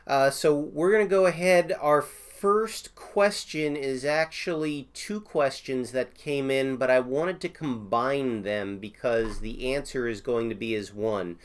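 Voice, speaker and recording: A man with a smooth, resonant voice of middling pitch, just getting over a cold and stopping now and then to cough. He talks loosely and thinks aloud, full of "uh"s, as he explains things to viewers in a casual Q&A video. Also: a little slow, few pauses. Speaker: Medicare insurance agent host.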